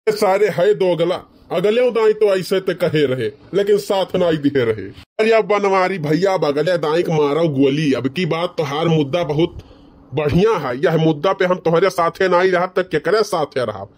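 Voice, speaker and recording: A man's voice: a little high, normal speed, natural voice. A man voicing a bickering, boastful village man in a comedy cartoon, with a rustic Awadhi accent from eastern Uttar Pradesh. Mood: scheming. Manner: colloquial rustic banter.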